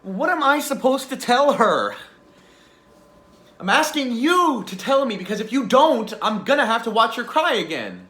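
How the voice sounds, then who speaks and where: A man's voice: deep voice, normal speed, long pauses, a bit bright. An actor playing the profane, guilt-ridden ghost of a male tiger in a stage monologue, telling his story with sardonic humor. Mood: anguished. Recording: echoey room.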